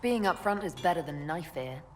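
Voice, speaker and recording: An actress playing a composed, resolute young elf warrior in a video game, speaking formally and directly. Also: female voice, fairly low pitch, expressive, brisk, slight background noise, lightly acted. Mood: calm, candid. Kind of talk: video game dialogue acting.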